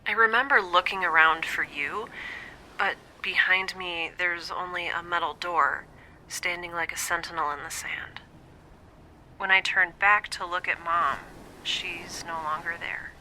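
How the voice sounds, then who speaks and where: An actress playing a lonely, wry young space scientist in an audio drama, confiding hesitantly and often dropping to a near-whisper over a log recording. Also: female voice, on the low side, fairly even intonation, unhurried, pushed and bright, slight background noise, low-quality recording. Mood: haunted, dread. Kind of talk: scripted audio drama monologue, intimate dream-log recording.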